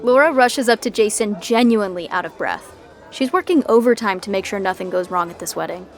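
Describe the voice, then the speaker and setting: A woman's voice: medium pitch, brisk, over music, close to an everyday voice. A woman playing a gossipy narrator of a scripted sitcom podcast, telling it with wry, chatty asides. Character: wry, gossipy female storyteller commenting on characters.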